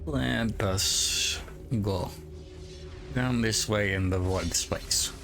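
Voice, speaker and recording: Guy roleplaying aloof catfolk hero in a video game, slow formal talk, kinda low, music behind. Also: vocal fry, full character voice. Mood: aloof, musing. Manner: measured, formal, stilted speech. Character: aloof, proud cat-folk adventurer speaking in third person. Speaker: gamer playing in character. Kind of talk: in-character roleplay game commentary.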